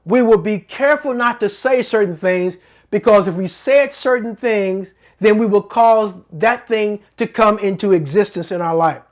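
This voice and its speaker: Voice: mid-range voice, normal speed. A middle-aged host of a faith-based talk show, giving an earnest, preacherly teaching monologue that repeats phrases for emphasis.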